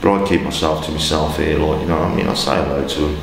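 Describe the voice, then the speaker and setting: Brummie guy in his 50s, real deep voice, rambly, echoey hall. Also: steady tone, quick pace, creaky. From England. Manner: plain-spoken, rambling with fillers. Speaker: hostel resident. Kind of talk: documentary interview. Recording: echoey hall with faint noise.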